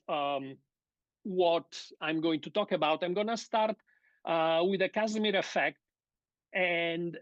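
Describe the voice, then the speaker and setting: A middle-aged Italian-accented man gives a physics seminar over a video call. He speaks slowly and carefully, with many fillers and restarts. The audio sounds dull and dry, like a call from a small office. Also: a little high, dry recording. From Sardinia, Italy. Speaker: guest lecturer. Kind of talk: academic seminar talk.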